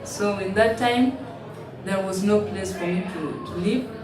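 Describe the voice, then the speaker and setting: A woman, telling her story in halting, simple English with frequent restarts. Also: mid-range voice. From South Sudan. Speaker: interviewee, refugee. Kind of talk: personal testimony in an interview. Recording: roomy, distant mic, noisy ambience.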